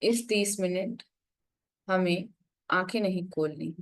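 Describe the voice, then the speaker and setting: Meditation guide: woman, lowish pitch, unhurried instructional delivery with plenty of pauses.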